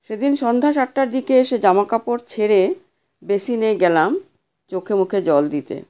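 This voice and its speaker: A woman narrating a scripted horror story as an audio storyteller, with a measured, dramatic delivery. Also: mid-range voice, warm tone.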